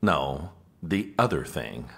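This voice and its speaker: An actor playing a long-suffering, exasperated political aide with a dry, weary manner in a comedic audio drama. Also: male voice, rumbling low, animated, slow pace, creaky, fairly bright tone, full character voice.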